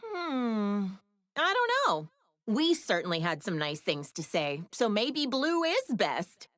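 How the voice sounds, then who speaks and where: A woman's voice: mid-range voice, very animated, slow pace, a bit bright. A woman playing a proud, doting, glamorous middle-aged mother in an anime game, breezy and opinionated. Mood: wry, curious. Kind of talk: English anime game dub banter.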